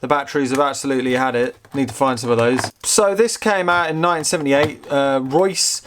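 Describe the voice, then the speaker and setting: A British man giving casual, excitable hands-on commentary as he tinkers with gadgets, thinking aloud informally. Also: mid-range voice, a little fast, slight background noise. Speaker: host of a vintage technology museum channel.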